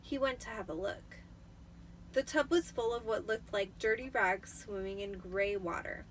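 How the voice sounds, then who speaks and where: A tipsy woman reading a novel aloud in a theatrical, expressive storytelling style. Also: mid-range voice, normal speed, distant mic, slight background noise. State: tipsy from wine. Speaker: amateur storybook reader.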